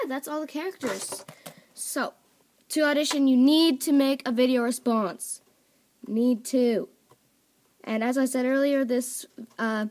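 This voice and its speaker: A young woman casually introducing her characters at home, talking in a rambling way with lots of fillers. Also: on the high side. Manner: rambling, filler-heavy, scolds her dog off-mic. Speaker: video creator. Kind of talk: casual chat. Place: home room at a table of toys.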